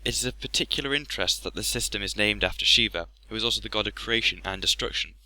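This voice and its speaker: Very bright-voiced man, fast explainer. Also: fairly low pitch, no room sound, poor audio. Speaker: video narrator. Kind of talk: explanatory scripted narration.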